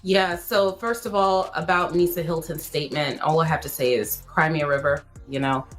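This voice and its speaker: A woman's voice: deep voice, fairly even intonation, normal speed, faint music behind. A woman giving blunt, opinionated commentary as a guest, in a conversational style.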